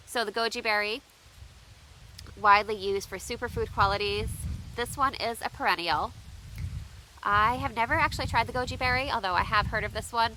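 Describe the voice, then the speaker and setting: A woman with a bright, forward voice chats to her viewers outdoors, a little stuffy and nasal from allergies. She talks easily and keeps asking viewers for their tips. A faint hiss sits under the recording. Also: medium pitch, rough recording. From United States. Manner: chatty, asks viewers for tips. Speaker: homestead vlogger. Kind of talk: casual unboxing chat to viewers. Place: outdoors under shifting trees.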